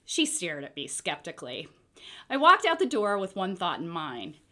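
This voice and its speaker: Animated woman reading a children's storybook. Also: lowish voice, normal speed. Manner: expressive, theatrical. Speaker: storybook reader. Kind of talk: dramatic children's book read-aloud.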